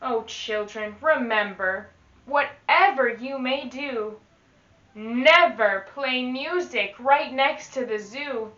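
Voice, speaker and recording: A woman with a very bright, clear-cut delivery reads to young children at a very slow, careful pace. She is expressive and instructional, like a teacher at story time. The sound is echoey and a little dull, as if in a live room. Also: fairly high pitch. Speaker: teaching artist reading to children. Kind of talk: slow, enunciated children's read-aloud.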